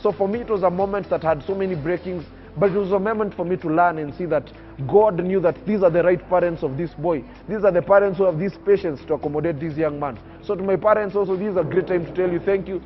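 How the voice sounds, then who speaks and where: Young man, from Kenya, high-pitched, emphatic speaker, muffled audio, soft music underneath. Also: fast, hardly any pauses. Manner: oratorical, emphatic, preacher-like cadence. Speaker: young public speaker interviewed on TV. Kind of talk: earnest personal storytelling.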